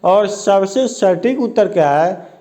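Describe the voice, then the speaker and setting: A man's voice: high-pitched, expressive, takes it slowly, dark tone. A man teaching an exam prep lesson, reading each question and its options, then repeating the answer back as a question. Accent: Hindi, north Indian.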